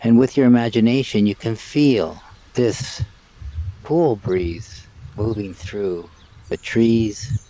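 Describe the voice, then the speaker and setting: Calm guy doing a guided meditation outside, really slow, soft mellow voice, lots of pauses. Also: lowish voice. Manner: calm, measured, short phrases with long pauses. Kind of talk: live guided meditation, spoken softly and unscripted. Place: outdoors by water and trees.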